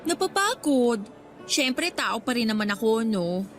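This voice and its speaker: A woman's voice: a little high, very animated, unhurried, soft background music. A woman playing an ambitious, driven schoolgirl student leader in a radio drama, talking persuasively and insistently.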